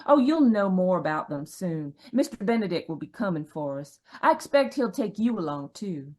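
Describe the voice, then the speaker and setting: A woman acting in a radio mystery as a gentle, reassuring dead wife, soothing and polite. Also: lowish voice, very animated, normal speed.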